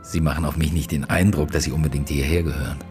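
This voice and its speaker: A deep-voiced male detective in a radio play, brooding and terse. He probes with short questions and halting phrases, sounding weary, with faint music behind him. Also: steady tone, darkish tone. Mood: probing, dry. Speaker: actor in a radio drama. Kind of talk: naturalistic German radio drama dialogue.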